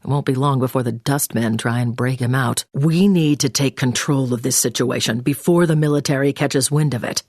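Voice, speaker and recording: An actress playing a brisk, commanding intelligence agent in a video game, giving mission orders in a businesslike, clipped way. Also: female voice, rumbling low, a little mellow, no room sound, clean studio sound. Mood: businesslike, urgent. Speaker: mission handler over the phone. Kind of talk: scripted video game voice acting.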